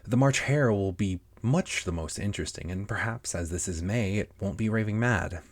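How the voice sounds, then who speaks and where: A man's voice: fairly low pitch, normal speed, natural voice. A man voicing a polite, curious and rather timid young girl from a storybook, with earnest questions. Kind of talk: storybook character reading.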